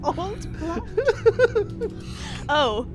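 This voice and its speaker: A woman's voice: extremely high pitch, sing-song, extremely slow. A woman playing a tabletop roleplaying game, chatting casually at the table. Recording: prominent music behind voice. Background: music.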